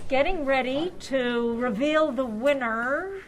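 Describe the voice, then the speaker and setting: Unhurried woman introducing someone, higher-pitched. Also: animated, slight background noise. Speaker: event presenter at a club luncheon. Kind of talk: informal spoken introduction. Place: indoor room at a luncheon gathering.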